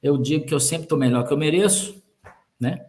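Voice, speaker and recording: A man's voice: on the high side, a little fast, darkish tone. A Brazilian man, a sales manager guest on a podcast, talking in a joking way full of metaphors and sayings. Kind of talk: conversational interview.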